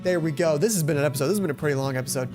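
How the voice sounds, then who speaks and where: A woman's voice: low voice, racing, darkish tone. A woman hosting a let's play, reading a visual novel aloud and adding her own side comments. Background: game music.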